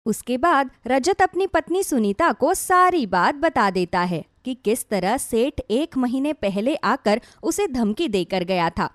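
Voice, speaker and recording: A woman's voice: a little high, expressive, unhurried, few pauses, no echo, clean studio sound. A woman narrating a scripted dramatic story as a storyteller.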